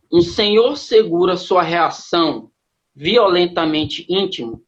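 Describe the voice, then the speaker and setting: Man reciting poetry over a muffled stream. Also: high voice. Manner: slow measured recitation. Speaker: poet. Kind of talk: poetry reading in a live stream. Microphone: video call microphone. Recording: muffled live stream audio.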